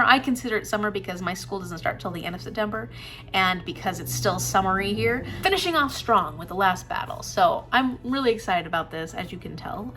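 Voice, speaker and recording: Chatty young woman with a higher voice. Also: bright, forceful tone. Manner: upbeat and chatty, laughs often. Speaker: booktuber. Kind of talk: casual vlog announcement.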